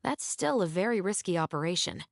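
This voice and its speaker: An actress playing a brisk, keen young female patrol officer in a video game, speaking in an articulate, confident, analytical way. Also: on the low side, expressive, gravelly, no echo, studio quality. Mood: firm, reasoning. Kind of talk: scripted video game voice acting.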